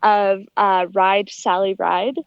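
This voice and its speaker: A woman's voice: mid-range voice, level tone, slow, gravelly, clean tone, no room sound, dull audio. A young adult woman giving conversational, explanatory answers as a guest in an interview. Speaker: interview guest, aspiring astronaut.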